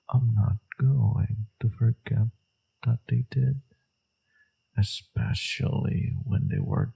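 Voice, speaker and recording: A man playing a flirtatious, teasing villain in an intimate romance audio roleplay, murmuring coyly in a low, breathy voice. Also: exaggerated voice. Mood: soft, coy. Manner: teasing, coy, murmuring with soft chuckles. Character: flirtatious, teasing male villain, secretly smitten enemy.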